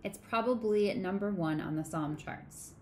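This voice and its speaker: Woman reading a children's story gently. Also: medium pitch, a little slow, slight background noise. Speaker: children's sermon co-leader. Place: small home room.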